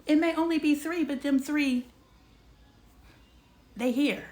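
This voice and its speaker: A woman in her forties hosting a casual livestream, talking straight to her viewers in an animated, slangy way. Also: high voice, slow. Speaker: livestream host.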